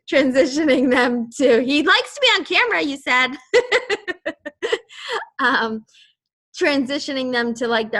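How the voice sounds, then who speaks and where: Chatty bright woman with a Boston accent. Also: a little high. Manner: chatty and rambling, laughs often. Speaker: fitness team leader. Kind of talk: casual team call.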